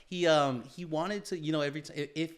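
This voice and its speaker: A man's voice: on the high side, fast. A man co-hosting a casual podcast, talking in a rambling, self-deprecating way with lots of fillers. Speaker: podcast co-host.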